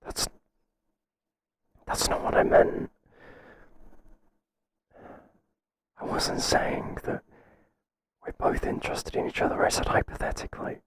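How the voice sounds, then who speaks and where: A man playing a stern but flustered boss in an ASMR roleplay, speaking in a halting, breathy whisper. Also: natural voice. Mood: flustered, defensive. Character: stern, flustered male boss resisting an employee's advances. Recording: clearly noisy, hissy background.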